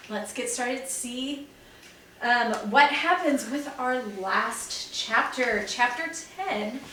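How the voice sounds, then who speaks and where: A woman teacher reads a children's chapter book aloud in a gentle, clear storytelling manner. Her voice sits a little high, and it bounces around a very echoey room. She is picked up from a distance, with a faint hiss underneath. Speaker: second-grade teacher. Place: room next to a fireplace.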